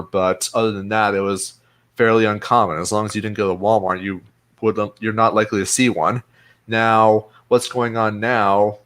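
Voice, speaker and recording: A man hosting a casual political podcast, talking in a blunt, defiant and deliberate way. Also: lowish voice, a bit bright. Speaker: host.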